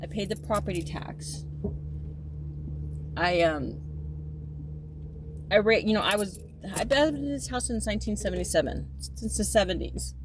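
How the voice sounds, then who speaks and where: A woman's voice: medium pitch, moderate pace, pauses often, noisy background. A woman in her fifties talking in a casual, rambling monologue, often repeating and restarting her sentences, and at times turning emphatic and indignant. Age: 50s.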